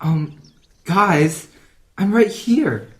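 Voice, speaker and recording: A performer playing a young boy turned ghost in an audio drama, earnest, expressive and pleading. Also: mid-range voice, drawn-out words. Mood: frustrated, pleading.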